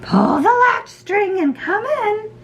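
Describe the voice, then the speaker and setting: A woman voicing a wily storybook wolf who mimics a female voice in cloying, drawn-out falsetto. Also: animated, creaky, slight background noise, full character voice. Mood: feigned frailty. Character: wily male wolf mimicking little girl, grandmother.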